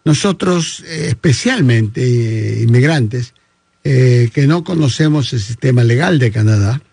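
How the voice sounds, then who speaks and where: A man's voice: medium pitch, animated, a little slow, creaky, darkish tone, husky, no echo. A man hosting a casual interview in Spanish, talking with many hesitations and fillers. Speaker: podcast host. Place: studio with phone on table.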